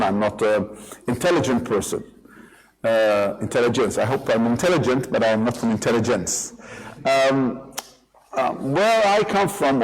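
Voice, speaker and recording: A man leading an interactive workshop for a live group, animated and joking, often repeating and rephrasing himself as he speaks. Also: mid-range voice, poor audio. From Israel. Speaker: workshop speaker and hostage negotiator. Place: live workshop in front of an audience.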